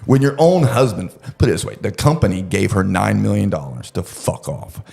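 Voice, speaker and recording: A man's voice: fairly low pitch, lively intonation, normal speed, vocal fry, dark tone. A man telling a true-crime story casually, with crude, profane joking and laughter woven in. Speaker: podcast storyteller.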